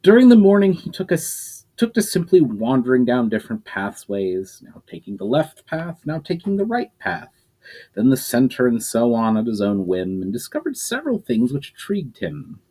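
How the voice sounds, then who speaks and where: A man reading a chapter of his own novel aloud, telling it in a lively way with small slips and self-corrections. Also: mid-range voice, normal speed, breathy edge, rough recording. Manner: lively storytelling with frequent self-corrections and fillers.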